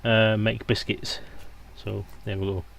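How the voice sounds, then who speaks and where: A man's voice: lowish voice, little pitch movement, a little slow, gravelly, fairly bright tone, highs cut off, a little hiss or hum. A man hosting a live stream on a headset microphone, chatting informally to viewers in a joking, digressive way. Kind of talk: casual rambling livestream chat to viewers.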